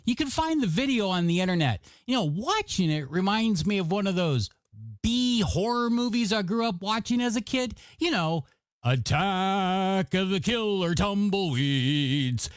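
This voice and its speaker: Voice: mid-range voice, lively intonation, unhurried, no echo, clean studio sound. A radio DJ with upbeat patter and playful, chatty asides between songs. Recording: music under the voice.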